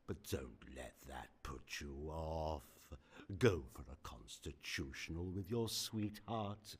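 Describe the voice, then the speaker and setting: A man's voice: very deep voice, husky, full character voice. A man playing a sinister, gloating old villain in a radio horror drama, theatrical and mock-friendly, lingering over his words.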